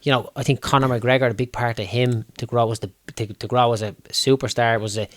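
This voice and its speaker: A man's voice: medium pitch, flat delivery, fairly quick, fairly bright tone, dry recording. Young Dublin man, casual host, chatty, dry close recording. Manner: chatty, swears, laughs easily. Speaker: podcast host. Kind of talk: casual interview chat.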